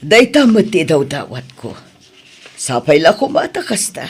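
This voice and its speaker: Apologetic parent, Ilocano accent, radio drama. Slow, husky, fairly high voice. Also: male voice, big pitch swings, exaggerated voice. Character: apologetic parent of a grown daughter.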